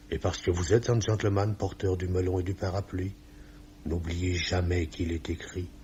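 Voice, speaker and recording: An actor playing a pious, solemn old office chief who forever quotes scripture, in 1950s radio drama style. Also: male voice, low-pitched, a little mellow. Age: older. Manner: sententious, solemn, preachy. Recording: dull, noisy old radio recording.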